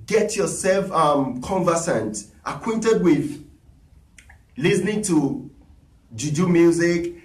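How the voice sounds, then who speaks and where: A man leads a live talking drum lesson, chatty and encouraging as he reads out requests. His voice sits high, and it sounds distant in an echoey room, picked up by a camera mic. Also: expressive, slow, long pauses. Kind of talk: live-streamed music lesson.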